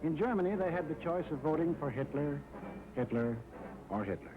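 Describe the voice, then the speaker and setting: A man's voice: a little high, lively intonation, fairly quick. An American man narrating a 1940s wartime propaganda film in a dramatic, emphatic, rhetorical style. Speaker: documentary narrator. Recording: muffled old film soundtrack with music.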